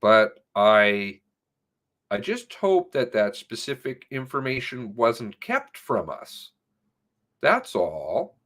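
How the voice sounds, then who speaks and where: A man's voice: medium pitch, huge pitch swings, slow pace, a bit bright. A man reading an interview transcript aloud, stressing words for emphasis as he goes. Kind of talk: read-aloud of spoken interview transcript with commentary.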